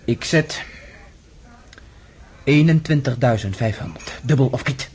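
An actor playing a proud, desperate young count in a radio drama, agitated and halting. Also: male voice, mid-range voice, lively intonation, darkish tone, a little hiss or hum, natural voice. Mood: reckless, urgent. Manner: agitated, halting, stammering repetitions. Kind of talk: radio drama acting in Dutch.

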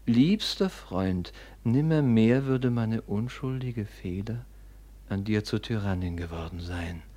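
An actor playing a scheming, envious younger son in a classical radio play, theatrical and declamatory, with an oily show of devotion. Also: male voice, on the low side, takes it slowly, warm tone. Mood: grave reading aloud. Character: scheming, envious younger son, falsely pious, coldly ambitious.

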